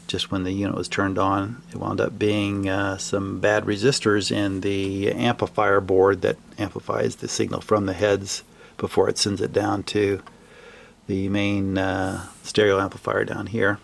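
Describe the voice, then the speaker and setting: Gravelly low-voiced man narrating a repair. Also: slight background noise. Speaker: hobbyist repairer presenting his own video. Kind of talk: casual repair demonstration narration. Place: indoor home workshop.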